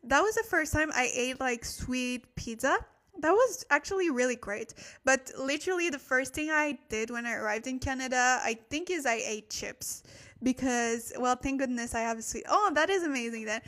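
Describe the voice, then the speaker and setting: A woman's voice: high voice, expressive, moderate pace, a bit bright. A woman with a mixed French and Korean-tinged accent, teaching language casually on a livestream and chatting with viewers in a friendly, giggly way. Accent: mixed French and Korean-tinged English. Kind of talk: casual interactive lesson chat with viewers.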